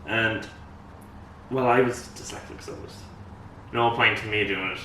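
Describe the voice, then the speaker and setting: A young man from Ireland with an Irish accent, co-hosting a casual podcast and talking in a relaxed, rambling way with many fillers. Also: on the low side, normal speed. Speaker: podcast co-host. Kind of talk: casual chat. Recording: echoey room, mic at a distance, faint noise.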